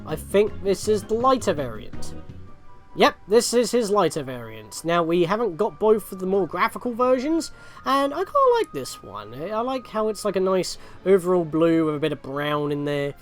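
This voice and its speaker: A man's voice: high voice, very animated, normal speed, soft background music. A man hosting a gaming video with casual, rambling let's play commentary and chatty asides.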